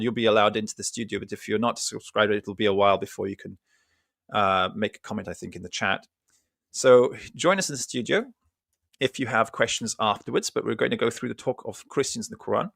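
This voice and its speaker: Gravelly-voiced male podcast host. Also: medium pitch, fairly bright tone, no room sound, clean studio sound. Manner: rambling, self-correcting, chatty. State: has a slight cough. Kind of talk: casual religious podcast talk. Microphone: studio mic with cough button.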